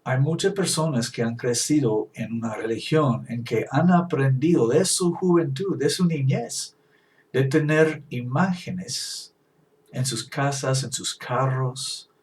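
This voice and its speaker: Non-native man preaching, slow scripture reading. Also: medium pitch, distant mic. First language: English. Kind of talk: Bible teaching.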